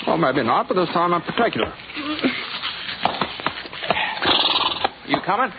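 Folksy american cowboy drifter, steady and understated, old radio western w/ heavy noise, muffled. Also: male voice, fairly high pitch, fast. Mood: quietly insistent. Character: laconic, steady Old West gunslinger drifter on a trail. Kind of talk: 1950s radio western acting and first-person narration. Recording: noisy, muffled 1950s radio recording.

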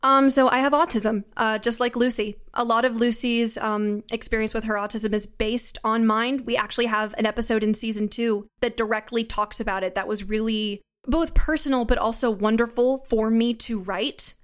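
A woman's voice: on the high side, fairly even intonation, normal speed. A woman giving reflective, articulate interview answers in an earnest way, as a creative guest. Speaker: podcast guest, audio drama writer and sound designer.

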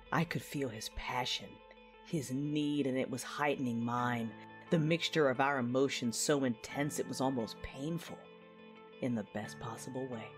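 Low-voiced, brooding Jedi woman played by an actress. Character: intense, passionate female Jedi knight recounting her past. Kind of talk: first-person audio drama narration with dialogue.

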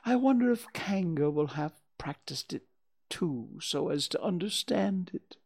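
A storyteller voicing a slow, humble, friendly but dim bear in a children's storybook, with a hesitant, earnest manner. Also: medium pitch, expressive, vocal fry, darkish tone, breathy edge, pitched above the performer's own voice, exaggerated voice.

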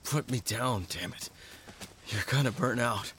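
An actor playing a dying, worn-out cyborg soldier in an audio drama, strained, halting and fatalistic. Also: male voice, medium pitch, a bit bright, slight background noise, close to an everyday voice. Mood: groggy, irritated. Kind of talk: cinematic audio drama acting.

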